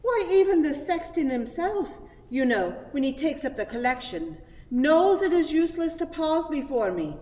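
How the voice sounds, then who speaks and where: An actress playing a prim, pious, dreamily distracted spinster in a radio play, with an affected, fluttery, sanctimonious manner. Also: female voice, sits high, big pitch swings, normal speed, a little mellow. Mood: airy, self-righteous. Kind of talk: theatrical radio play acting. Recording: echoey hall with long reverb.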